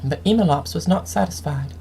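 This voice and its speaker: A woman game streamer reading story texts aloud in a measured, dramatic storytelling manner, with noticeable noise in the recording. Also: deep voice. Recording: clearly audible background noise.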